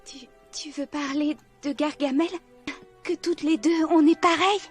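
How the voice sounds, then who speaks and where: A woman voicing a sweet, hopeful young female creature in a cartoon, gentle and tentative. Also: high-pitched, steady tone, unhurried, soft background music. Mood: hesitant.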